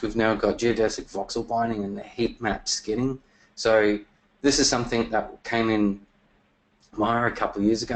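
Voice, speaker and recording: A man presenting software features in a webinar, talking casually with many fillers, his voice getting worn and losing strength. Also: on the low side, poor audio. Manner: casual, many fillers and restarts, throat clearing.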